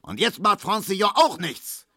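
A man's voice: deep voice, fairly quick, no room sound, clean studio sound. A man voicing a choleric middle-aged male gambler who bellows and grumbles in exasperation. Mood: choleric bellowing.

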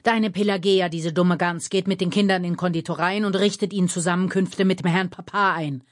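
A woman's voice: deep voice, normal speed, husky, no echo, clean studio sound. A woman reader voicing an aggrieved grown man in a storybook, sarcastic and indignant, often muttering with scorn. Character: aggrieved, sneering grown man, mother's lover.

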